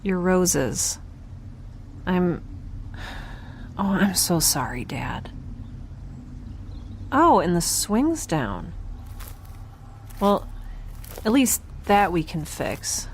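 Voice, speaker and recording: Slow, halting, weary young woman. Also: fairly low pitch, sing-song, a little hiss or hum, full character voice. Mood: sorrowful. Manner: halting, wry, trailing off mid-thought. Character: exhausted, injured, determined young woman scientist keeping a ship log. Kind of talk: audio drama log monologue and dialogue.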